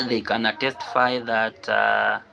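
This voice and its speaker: A man's voice: fairly low pitch, flat delivery, slow pace, vocal fry, pushed and bright. A man from Kenya hosting a casual live stream, repeating his phrases and answering viewers as he reads their comments.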